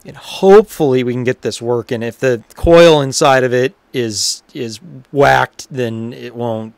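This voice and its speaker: A man talks through a repair in a casual, unhurried manner, thinking out loud. His voice sits at an average pitch. The sound is dry, with very little room in it. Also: vocal fry, clear, resonant tone, no echo. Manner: informal, unhurried, thinking aloud. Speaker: repairer presenting his own workshop video. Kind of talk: casual hands-on repair commentary.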